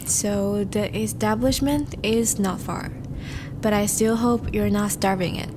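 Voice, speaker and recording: A woman playing a charming, courtly vampire suitor in a romantic audio roleplay, speaking formally and gently to the listener. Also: mid-range voice, fairly even intonation, a little slow, noisy background, natural voice. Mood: charmed, courting. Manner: formal, courtly, gentle phrasing with soft sighs.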